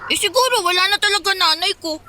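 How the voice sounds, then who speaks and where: A woman's voice: very high-pitched, fast talker, bright, forceful tone, a little hiss or hum. A woman with a Filipino accent playing an earnest, polite orphaned runaway boy in a radio drama, with a childlike voice. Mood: hopeful, grateful. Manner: polite, deferential.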